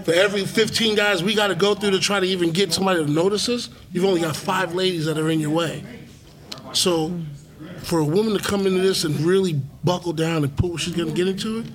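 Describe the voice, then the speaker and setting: A man's voice: high voice, fairly even intonation, quick pace. A man co-hosting a casual podcast, rambling and restarting his sentences with casual swearing. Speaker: podcast co-host.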